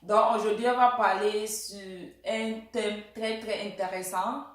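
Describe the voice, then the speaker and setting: A woman's voice: mid-range voice, steady tone, measured pace. A young woman interpreting a sermon line by line, often hesitant and restarting words, and answering amen. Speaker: church interpreter. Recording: very echoey room.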